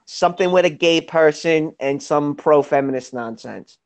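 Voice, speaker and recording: A man talking in a casual online group chat, halting and restarting his sentences often. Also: a little high.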